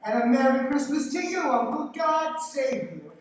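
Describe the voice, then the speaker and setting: A woman playing a cheerful, warm-hearted young man, an earnest nephew in a radio play. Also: sits high, big pitch swings, normal speed. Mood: cheerful, teasing. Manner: earnest, pleading. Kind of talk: live radio play acting. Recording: very echoey hall, distant microphone.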